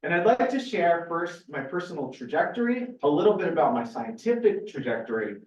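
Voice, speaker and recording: A man's voice: a little high, sing-song, brisk. A man in his forties from California speaks as a scientist giving an informal, personal lecture in a conversational way, often correcting himself. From California, USA. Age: 40s. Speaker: research scientist giving a seminar. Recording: roomy, distant, muffled lecture recording.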